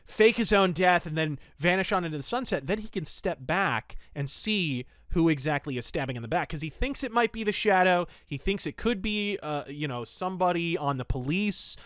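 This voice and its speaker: A man hosting a podcast, giving an opinionated, conversational review in a casual, animated way. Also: a little high, moderate pace, few pauses, dry recording, clean studio sound. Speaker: podcast host reviewing pulp fiction.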